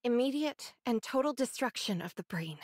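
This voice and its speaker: An actress playing an earnest, scholarly young android researcher in a video game, speaking in a measured, precise way. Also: female voice, fairly high pitch, expressive, no room sound. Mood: earnest, composed. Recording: dry studio voice, faint game music.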